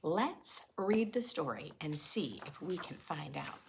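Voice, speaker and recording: A woman's voice: low voice, expressive, measured pace, vocal fry, background noise. A woman hosting a read-aloud for preschoolers, expressively reading a picture book and adding simple teaching questions and explanations in a dramatic way.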